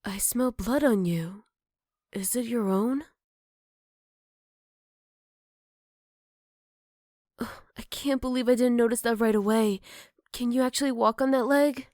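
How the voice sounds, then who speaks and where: Soft-spoken motherly werewolf played by a woman, very dry. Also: a little high, dry recording, close to an everyday voice. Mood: concerned, calm. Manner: soft-spoken, hesitant pauses, tender teasing. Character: gentle, caring motherly werewolf woman living in the woods. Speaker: voice actor. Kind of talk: ASMR roleplay addressed to the listener.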